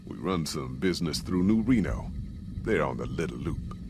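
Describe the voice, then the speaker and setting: Gruff folksy american caravan boss, game dialogue, real deep drawl, noisy background. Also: male voice, expressive, moderate pace. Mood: matter-of-fact. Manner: folksy, drawling frontier speech, plain-spoken. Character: gruff, folksy male caravan boss in a post-apocalyptic wasteland. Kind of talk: scripted video game character dialogue.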